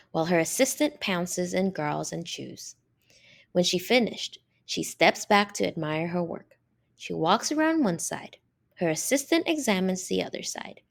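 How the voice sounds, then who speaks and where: A woman reads a picture book aloud for children in a lowish, slightly creaky voice. Her delivery is highly animated and swoops up and down, with deliberate, drawn-out stress on important words. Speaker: storybook reader.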